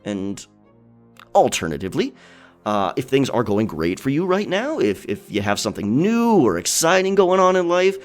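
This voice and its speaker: A man playing a friendly, caring pirate captain in a soft, chatty, encouraging ASMR check-in. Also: medium pitch, wildly swooping pitch, moderate pace, soft background music, voice pushed up. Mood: warm, reassuring. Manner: encouraging, chatty, hesitant, chuckling often. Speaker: ASMR storyteller and streamer.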